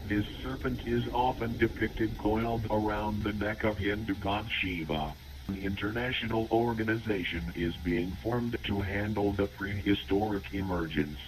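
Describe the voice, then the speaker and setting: A man's voice: fairly low pitch, moderate pace, lightly acted. A man playing a solemn emergency news narrator reading a scripted horror bulletin. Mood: solemn warning. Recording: noisy emergency broadcast audio.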